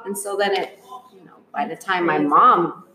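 A woman's voice: lowish voice, normal speed, sharp tone, echoey room. A woman born in Africa, a guest in a casual chat, telling stories and laughing often. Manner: laughing, chatty storyteller.

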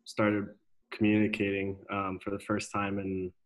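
A man's voice: lowish voice, monotone, a little slow, low-quality recording. A young man from Denmark, a guest in a casual interview, who speaks hesitantly with many ums and restarts. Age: young adult.

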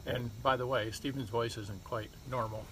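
A man co-hosting a casual podcast, cracking deadpan jokes. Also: medium pitch, normal speed, a little hiss or hum, low-quality recording. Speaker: podcast co-host.

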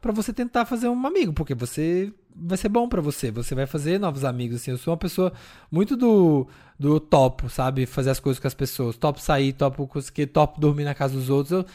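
Chatty man, slightly high voice. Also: brisk. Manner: animated, chatty, frequent fillers. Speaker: podcast co-host. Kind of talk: casual chat.

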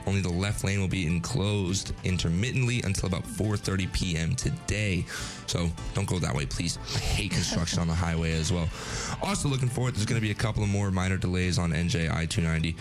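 Low-voiced male co-host giving traffic, laid-back, music clearly behind. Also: quick pace. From New Jersey. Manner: laid-back, casual asides. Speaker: radio co-host reading traffic and gas report. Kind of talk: casual traffic report.

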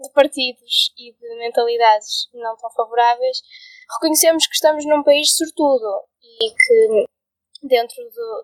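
A young woman with a European Portuguese accent, chatting on a podcast, often agreeing with the others and pausing on fillers as she talks. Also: on the high side, no echo. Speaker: podcast co-host. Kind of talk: casual podcast discussion.

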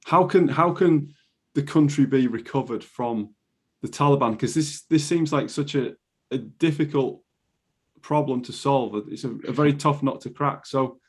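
A male interviewer with a slightly husky, breathy voice asks measured, probing questions and repeats himself now and then. He sounds set back from the microphone. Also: medium pitch.

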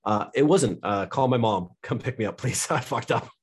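A man's voice: mid-range voice, lively intonation, moderate pace, breathy edge. A young American man from California, a podcast guest who talks loosely and jokes a lot. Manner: joking, loose and candid, laughs often. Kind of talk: casual interview chat.

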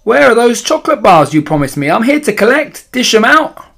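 A man voicing a greedy, cocky little boy in a storybook, loud, eager and demanding. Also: very high-pitched, very animated, a little fast, gravelly, pitched above the performer's own voice, full character voice. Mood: demanding, impatient.

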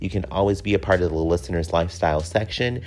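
A man's voice: low-pitched, fast talker, a little mellow, slight background noise. A man hosting a podcast, talking casually and candidly in a personal, conversational monologue with some casual swearing. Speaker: podcast host.

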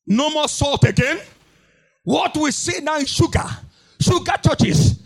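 Man preaching, high voice, swooping pitch, shouted sing-song sermon. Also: expressive, a little slow, gravelly. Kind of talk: fiery sermon.

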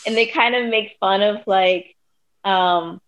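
A woman's voice: mid-range voice, slow, fairly bright tone, poor audio. A woman in casual discussion, rambling, with lots of fillers.

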